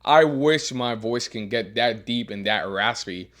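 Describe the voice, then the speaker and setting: A man who coaches strength and teaches as a professor, giving earnest career advice with a deeper voice and frequent repeated words as he restarts. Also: a little slow, fairly bright tone. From Miami. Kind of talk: advice monologue.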